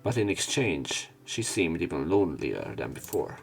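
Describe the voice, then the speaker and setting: A man's voice: deep voice, sing-song, normal speed, gravelly, heavy background noise. A man reading visual novel narration aloud as a let's play commentator, adding casual, wry, sweary asides.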